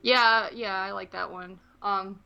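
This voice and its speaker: A woman's voice: mid-range voice, steady tone, slow pace, gravelly, clean tone, muffled recording, faint music behind. A woman co-hosting a movie podcast in casual, rambling conversation, hedging as she goes.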